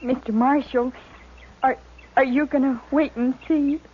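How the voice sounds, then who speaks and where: A woman's voice: high-pitched, fairly even intonation, unhurried. A woman playing an anxious, devoted young frontier daughter with an American accent, hesitant and pleading, in a 1950s radio western. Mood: timid, pleading. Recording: tinny, hissy old radio recording.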